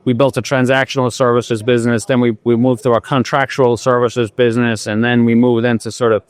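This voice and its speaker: A man's voice: mid-range voice, level tone, fairly quick, clear, resonant tone, no echo. A man in his sixties from Ireland with a faint Irish accent, an executive answering interview questions in an easygoing way with fillers and restarts. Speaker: company executive guest. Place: trade show floor.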